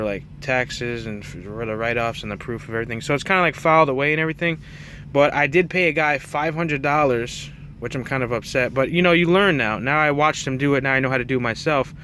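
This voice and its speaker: A man's voice: mid-range voice, fairly bright tone, slight background noise. A man talking in a casual, rambling, self-effacing way, like an unscripted hobby vlog by a truck driver. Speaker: truck driver and CB radio hobbyist presenting his setup.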